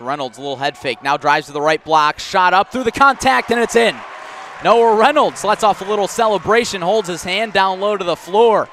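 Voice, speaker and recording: Higher-pitched man calling basketball play-by-play. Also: bright, forceful tone. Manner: clipped running description, rising on big plays. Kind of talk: live radio sports commentary. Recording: faint gym noise, bouncing balls. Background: basketball game in a gym.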